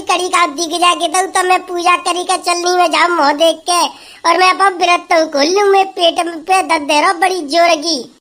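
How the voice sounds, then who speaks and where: A woman's voice: very high-pitched, fairly bright tone. A woman voicing a hungry, fed-up village wife who grumbles and scolds in a comic sketch. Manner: complaining, scolding, rustic chatter.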